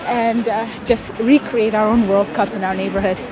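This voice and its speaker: A woman's voice: mid-range voice, fairly even intonation. Thoughtful woman from Ethiopia, music behind. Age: 30s. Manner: thoughtful, unhurried, frequent pauses and soft laughs. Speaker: interviewee, pickup soccer player. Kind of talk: casual interview storytelling.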